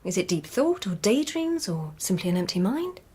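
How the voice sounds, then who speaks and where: An actress playing a snobbish, teasing court lady in a radio drama, with an arch manner and a husky voice. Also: medium pitch, very animated, normal speed, darkish tone. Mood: concerned, chiding. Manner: arch, bantering, haughty.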